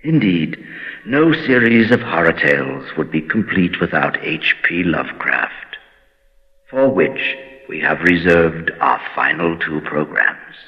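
An actor playing a brooding keeper of horror tales, narrating in a solemn, theatrical old-time radio style. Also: male voice, a bass voice, lively intonation, takes it slowly, heavily acted. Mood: hushed, ominous. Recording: dull vintage radio, long reverb, faint music.

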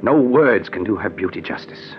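On a dull old radio recording, a haunted husband with a very deep, husky voice. He broods and swings widely in pitch, leaning on dramatic pauses in classic 1940s radio style. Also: lively intonation, soft background music. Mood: wistful. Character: haunted, exasperated husband recounting his nightmare marriage.

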